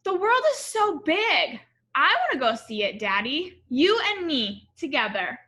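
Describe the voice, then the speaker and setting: An eager, curious little storybook girl, voiced by a woman reading aloud, with a high, bright voice. She speaks earnestly and expressively. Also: measured pace, heavily acted. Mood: eager, proud. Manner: earnest, expressive, addressing her father.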